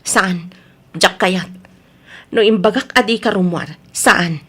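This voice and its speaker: A woman's voice: mid-range voice, takes it slowly, a bit bright, husky. A woman playing an anxious, protective mother in an Ilocano radio drama, speaking emotionally and pleadingly with an Ilocano accent. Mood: worried. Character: anxious, protective mother pleading over her sick sons.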